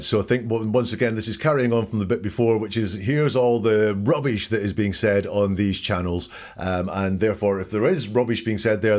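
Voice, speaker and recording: A low-pitched man works as a podcast host, talking through a recording in an analytical way. His manner is informal and wry as he reads out quotes and dissects them. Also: darkish tone.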